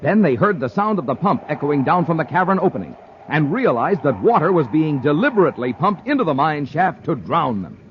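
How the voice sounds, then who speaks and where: A man's voice: fairly high pitch, big pitch swings, fairly quick, slight background noise. A man announcing in punchy, emphatic mid-century radio style, reading scripted copy. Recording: muffled old radio recording.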